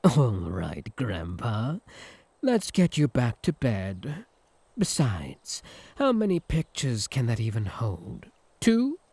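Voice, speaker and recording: British man as teasing yandere incubus: unhurried, sly, sarcastic, swooping delivery, close dry sound. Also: mid-range voice, huge pitch swings, gravelly, dark tone, slightly rough, dry recording, exaggerated voice. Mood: dry, mocking.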